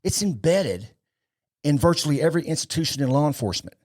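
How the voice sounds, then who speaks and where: A man's voice: fairly high pitch, big pitch swings, quick pace, a little mellow. A man speaking as an opinionated interview guest, emphatic and rhetorical, repeating his points. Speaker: podcast guest, police leadership speaker.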